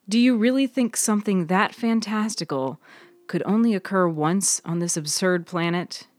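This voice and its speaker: Unruffled, sage-like woman for audio fiction female narrator acting, lowish voice, philosophical, gently lecturing, dry close recording. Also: dry recording, natural voice. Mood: wry, urging. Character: Heck, elegant, wise, unruffled mysterious woman. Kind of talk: dramatised audiobook dialogue.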